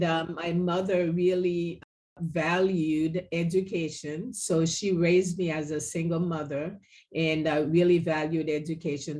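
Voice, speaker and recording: A woman from Haiti, a guest author, answering interview questions politely and in a measured way. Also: fairly low pitch, a little mellow. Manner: polite, measured, some fillers.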